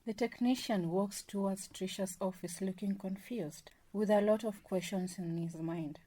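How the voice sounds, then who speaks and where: A woman's voice: mid-range voice, fairly even intonation, moderate pace. A woman reading scripted narration for a radio drama. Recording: very loud background noise.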